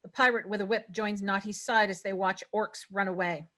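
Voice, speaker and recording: A woman with a medium-pitched voice reads scene headings and action lines. It is scripted narration for a table read. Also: steady tone, normal speed, pushed and bright. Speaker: narrator reading stage directions.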